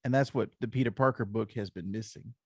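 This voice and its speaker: A man's voice: fairly low pitch, fairly quick, darkish tone, slightly rough, no echo, clean studio sound. A man with an American accent chatting in an easygoing, casual way as a podcast co-host. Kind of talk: casual chat.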